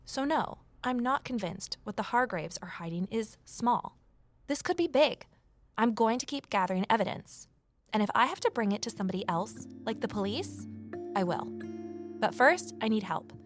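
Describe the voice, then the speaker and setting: A woman's voice: a little high, expressive, moderate pace, soft background music. An actress playing a determined, inquisitive investigator who tells her story in an earnest, confiding way. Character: Evelyn, determined, inquisitive young woman investigator and narrator. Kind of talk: first-person scripted drama narration and dialogue.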